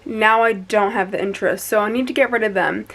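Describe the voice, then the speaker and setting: A woman's voice: mid-range voice, fairly even intonation, brisk, a bit bright, low-quality recording. A young woman with a congested, sniffly voice, chatting blunt and playful to camera about her bookshelves in her bedroom. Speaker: booktuber host. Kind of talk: casual chat to camera.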